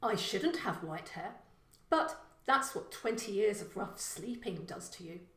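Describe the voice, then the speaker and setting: A woman's voice: medium pitch, animated, a little slow, a bit bright, roomy sound. A woman voicing a mild, weary, good-natured middle-aged man in a story, plain-spoken. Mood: matter-of-fact.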